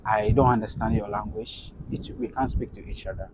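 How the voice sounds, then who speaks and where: A man's voice: lowish voice, a little fast, noisy background. A young man with a Ghanaian accent chatting casually as a travel vlogger, often repeating words. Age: young adult. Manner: animated, repeats and echoes words. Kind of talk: casual on-location interview chat.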